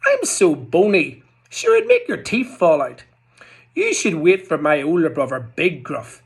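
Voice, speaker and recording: A man's voice: on the high side, wildly swooping pitch, a little slow, husky, heavily acted. A man voicing a cheeky middle-sized billy goat who bleats at the troll.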